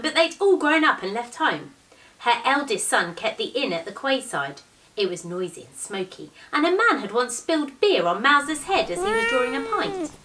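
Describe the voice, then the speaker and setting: A woman in her thirties reads a children's storybook aloud in an expressive way, with deliberate, measured phrasing. Her voice sits at a medium pitch and is picked up from some distance away in a living room. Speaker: teacher reading a picture book to pupils. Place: small living room, indoors, on a sofa. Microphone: camera a few metres away.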